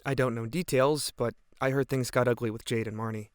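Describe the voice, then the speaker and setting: An actor playing an anxious, wistful high school boy, speaking hesitantly and earnestly in a naturalistic audio drama. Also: fairly even intonation, brisk, smooth, no room sound, studio quality, natural voice. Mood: worried.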